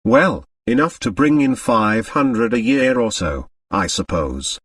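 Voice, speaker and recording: A big, breezy middle-aged doctor from a storybook, as a man acts him out. He fumes and blusters with emphatic energy. His pitch moves in lively swings, in a dry but slightly distant recording. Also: slow, slightly rough, no echo, exaggerated voice. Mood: frank, kindly.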